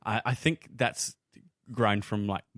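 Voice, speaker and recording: A man's voice: on the low side, steady tone, slow, a bit bright, no echo, clean studio sound. A man chatting casually as a podcast host, telling things in an enthusiastic, descriptive way. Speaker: podcast host and tabletop role-player. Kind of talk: casual discussion among friends.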